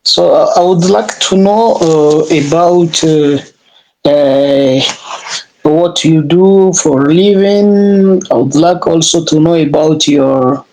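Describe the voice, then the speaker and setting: A man's voice: a little high, slow, a little mellow, low-quality recording. A man with an East or Central African accent and Swahili as his first language, chatting casually in English as a learner, searching for words and repeating phrases. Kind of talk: casual conversation practice.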